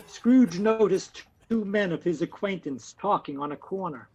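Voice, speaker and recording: An actor playing a matter-of-fact storyteller and city gentleman in an audio play, with scripted delivery. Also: sits high, animated, unhurried, husky, full character voice. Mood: storytelling. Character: storyteller narrator and casually indifferent city businessman. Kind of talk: scripted story narration and dialogue. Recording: dull audio-play recording, faint noise.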